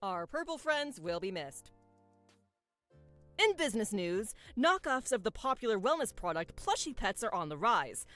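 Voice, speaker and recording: A woman's voice: mid-range voice, big pitch swings, fairly bright tone, dry recording, faint music behind. A woman playing a polished, formal female news anchor reading a scripted bulletin in a video game. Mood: composed, newsy. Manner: formal, measured newsreader delivery.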